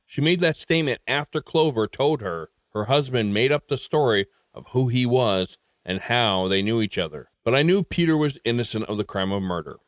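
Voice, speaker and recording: A man hosting a podcast, reading a conversational outro in a relaxed way. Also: mid-range voice, no echo, clean studio sound. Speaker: podcast host.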